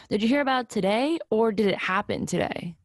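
A woman acting as a tough young masculine-styled woman in a stage play reading. Her delivery is blunt and clipped, skeptical and challenging, at a medium pitch. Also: lively intonation, normal speed, lightly acted. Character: Blake, masculine-presenting 25-year-old woman, blunt skeptical questioner.

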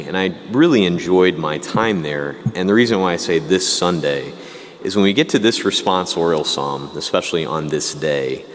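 A man, a parish priest, giving a chatty, self-deprecating Sunday homily with jokes. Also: low-pitched. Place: church. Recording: long church reverb.

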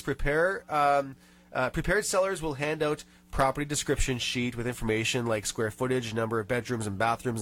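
A man's voice: a little high, fairly bright tone. A man hosting a radio show in a studio, nasal from a cold, chatting and reading tips aloud with small rants. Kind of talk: casual radio chat.

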